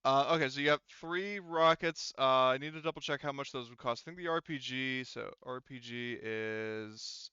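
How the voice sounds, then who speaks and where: A man acts as game master in a casual online tabletop session. His voice is smooth and mid-pitched, recorded dry but a little dull, and he works through numbers haltingly, thinking out loud. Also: no echo. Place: remote online voice call.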